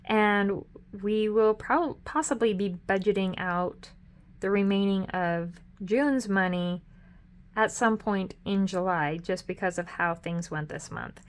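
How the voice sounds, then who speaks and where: Middle-aged woman, host of a budgeting vlog, chatty and upbeat, a touch hoarse, mid pitch. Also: slow. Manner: chatty and upbeat, with frequent ums and self-corrections. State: hoarse, losing her voice. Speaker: budgeting vlogger. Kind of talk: casual budget walkthrough.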